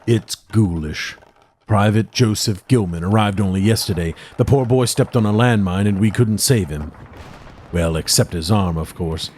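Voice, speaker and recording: An actor playing a haunted, fearful army doctor, narrating a gothic horror audio drama in a grave, formal, dramatic way. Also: male voice, on the low side, normal speed, a little mellow, slightly rough. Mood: disgusted. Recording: music under the voice.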